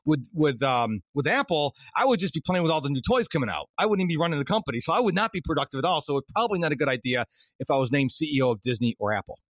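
A man's voice: fairly high pitch, animated, no echo. A man from Rochester, New York, a podcast guest who jokes and teases the host and laughs easily. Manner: joking, teasing, quick to laugh. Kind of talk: casual interview chat.

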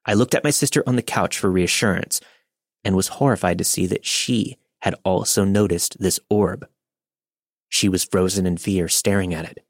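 A man with a lowish voice reads a listener's story in a steady, measured narration. The sound is close and very dry, with no room around it. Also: no room sound. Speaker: podcast host reading a listener story. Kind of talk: scripted narration.

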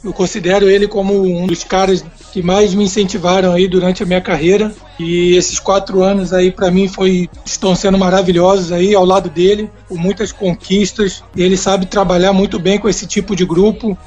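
A man answering questions as a guest on a radio interview, chatty and relaxed, laughing easily. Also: high-pitched, normal speed, hardly any pauses, soft background music. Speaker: basketball player guest.

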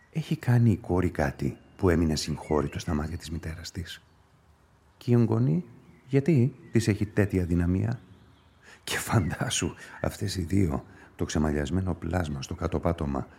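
Casting: observant, brooding detective, radio-play inner monologue. Deep, husky, gravelly. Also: male voice, warm tone, exaggerated voice. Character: brooding male police investigator thinking to himself, observant.

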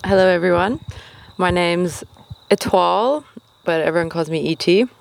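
A young woman talking as a guest in a relaxed interview. Her delivery is informal and giggly, and she makes light of herself as she goes. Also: on the low side, moderate pace, gravelly, rough recording. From raised in China and Asia. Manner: giggly, self-deprecating. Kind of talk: casual chat.

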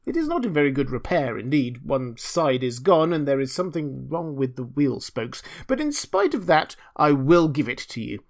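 A pompous, self-righteous storybook miller, acted out by a man. The voice sits high and creaks at the edges, swinging broadly in melody. He draws out sanctimonious phrases, posing as generous while being greedy. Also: big pitch swings, normal speed, hardly any pauses. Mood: self-satisfied. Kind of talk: storybook character reading.

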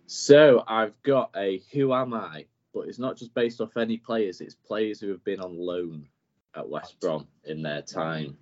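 A man's voice: on the low side, unhurried, darkish tone. A man running a football quiz on a podcast, laid-back, reading clues plainly and chuckling often. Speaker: podcast quizmaster. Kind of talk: casual quiz chat. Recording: dull lo-fi podcast audio.

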